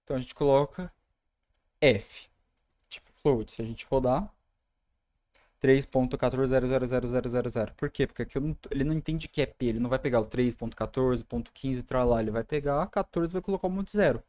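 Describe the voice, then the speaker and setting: A man's voice: medium pitch, fast, darkish tone. A young man with a Brazilian Portuguese accent, casually explaining code as he types in a screen-recorded tutorial. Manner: casual, talks through code while typing. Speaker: programming tutor.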